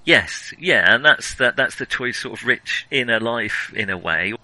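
Bright, close-sounding man chatting about film. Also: mid-range voice, expressive, a little slow, no room sound, poor audio. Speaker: podcast host. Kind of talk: casual film discussion.

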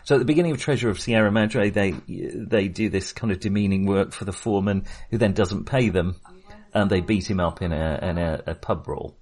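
A man with a fairly low voice speaks as a podcast co-host in a relaxed film discussion. His phrasing is halting and hesitant, as he feels his way through each thought.

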